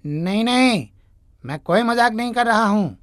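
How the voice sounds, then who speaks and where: A woman voicing a kind, reassuring young magical man in an animated story. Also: fairly high pitch, measured pace, dry recording, clean studio sound. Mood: earnest. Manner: calm, reassuring. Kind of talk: Hindi animated moral story acting.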